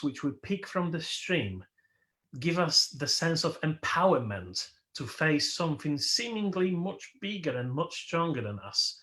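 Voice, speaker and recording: A man whose first language is Polish, leading a worship service as its host and speaking slowly and reflectively, with emphatic rises, over a video call. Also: a little high, a bit bright. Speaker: worship leader. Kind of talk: sermon.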